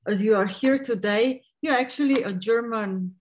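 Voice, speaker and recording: A woman in her forties hosting an online talk from home over a video call, speaking in a deliberate way. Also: a little high, slight background noise. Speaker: host moderator. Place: home room with bookshelves. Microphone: video call webcam.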